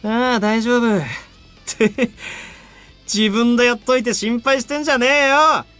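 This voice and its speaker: A man's voice: extremely high pitch, steady tone, fairly quick, sharp tone, smooth, soft background music. A man voice acting a cocky, battle-hungry young male rival in a visual novel. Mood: wry, teasing.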